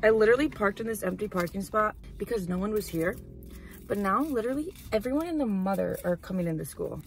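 A woman's voice: medium pitch, expressive, fast talker, vocal fry, faint music behind, low-quality recording. Chatty young woman vlogging in a car. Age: 20s. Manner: chatty, rambling, lots of "like" and "literally". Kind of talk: casual car vlog chat. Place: parked car. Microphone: camera on dashboard.

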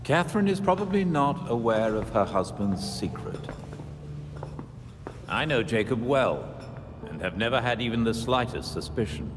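An actor playing a calm male vigilante who speaks matter-of-factly. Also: medium pitch, moderate pace, lightly acted. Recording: reverb with music score.

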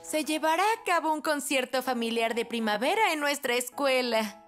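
An actress playing a friendly kindergarten teacher in a children's cartoon, encouraging, with a Latin American Spanish accent. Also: female voice, sits high, animated, normal speed. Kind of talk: children's animated dubbing.

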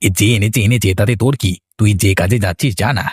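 Cartoon village man, acted, irritable, gruff, raspy, dry close sound. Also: fairly low pitch, fairly quick, no echo. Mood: irritated. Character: irritable village palm-fruit seller in a ghost story.

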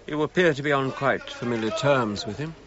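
An actor playing a reserved, authoritative British archaeologist in a radio drama, speaking formally with clipped phrasing. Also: male voice, mid-range voice, fairly quick, dull audio, crowd noise, natural voice. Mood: stiff, disapproving.

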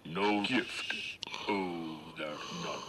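An actor playing a sly, menacing villain in a video game. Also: male voice, deep voice, drawn-out words. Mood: gloating. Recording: noisy game clip.

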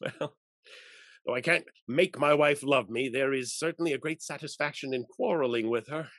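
A man's voice: mid-range voice, moderate pace, a bit bright, no echo, studio quality, natural voice. A man in his fifties or sixties playing an exasperated, doting old husband in a period stage comedy, theatrical and sputtering. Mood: rueful. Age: 50s to 60s. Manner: blustering, theatrical, exclamatory, sputtering. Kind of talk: period comedy stage acting over video call. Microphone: video call.

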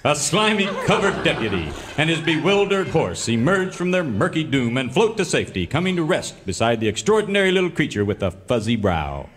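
Melodramatic radio serial narrator, lively delivery. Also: male voice, a little high, fairly quick, few pauses, in a large hall, background noise. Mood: dramatic, grandiose. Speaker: announcer. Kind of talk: scripted melodramatic narration, parody.

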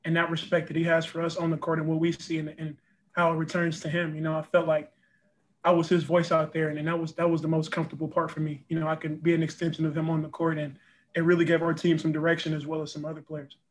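A man's voice: fairly high pitch, completely flat delivery, fast talker, slight background noise. A young man, a college basketball player, answering post-game press questions over a video call, earnest and full of "you know" and repeated words. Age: young adult. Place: press backdrop room, indoors.

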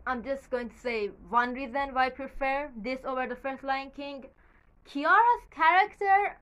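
A woman's voice: fairly high pitch, bright, forceful tone. A young woman whose first language is Farsi, chatting in a rambling, casual way with many fillers and a slightly nervous edge, recorded in a small bedroom on a camera at desk distance. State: a little nervous. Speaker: YouTuber. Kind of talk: casual movie commentary.

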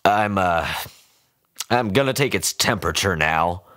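A man voicing a shaken middle-aged veterinarian who speaks in a nervous, halting way. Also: deep voice, lively intonation, sharp tone, full character voice.

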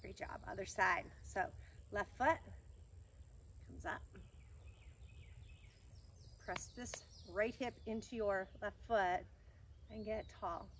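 A woman in her thirties guiding a yoga class outdoors on a farm field, giving calm, encouraging cues between breaths. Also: medium pitch, slow pace, long pauses. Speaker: yoga teacher. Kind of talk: guided yoga class instruction. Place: outdoors on a grassy farm field under trees. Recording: faint outdoor noise, crickets. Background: faint crickets and country outdoor sounds.

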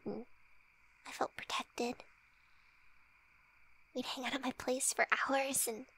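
A woman playing a flirty, shy, teasing best friend in an intimate ASMR roleplay, with breathy, hesitant, soft speech. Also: sits high, exaggerated voice. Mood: wistful, hesitant. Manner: breathy whispering, hesitant, giggly.

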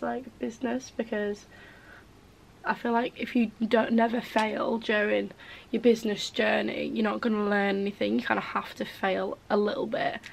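A woman's voice: medium pitch, vocal fry, slight background noise, rough recording. A woman in her twenties who is losing her voice, chatting casually through a get-ready-with-me video in her bedroom, rambling with lots of "like" and "um". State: losing her voice, a bit hoarse. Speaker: beauty vlogger. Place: bedroom, indoors.

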